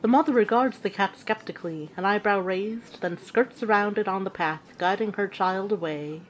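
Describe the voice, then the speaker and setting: A woman playing a witch who narrates an audio drama with measured, deliberate storytelling. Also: mid-range voice, slight background noise, lightly acted, rough recording.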